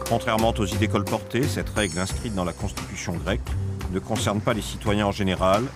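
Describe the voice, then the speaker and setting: A man reading a scripted documentary narration in a measured, grave manner. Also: lowish voice, steady tone. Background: music under the voice.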